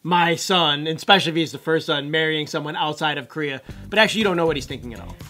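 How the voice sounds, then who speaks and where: A man's voice: a little high, fast, sharp tone, soft background music. A man co-hosting a casual commentary show, musing aloud and offering his opinions on photos.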